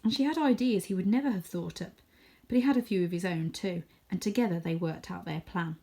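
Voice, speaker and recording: A woman reading a children's story aloud in a dramatic manner, dropping to a hushed near-whisper for suspense. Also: lowish voice, a little fast.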